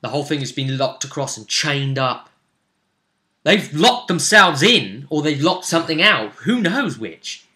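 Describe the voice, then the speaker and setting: Bright-voiced man telling a game story. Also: a little high, big pitch swings. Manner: halting, repeats phrases as the story builds. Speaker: game master recapping a tabletop session. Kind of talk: casual spoken storytelling.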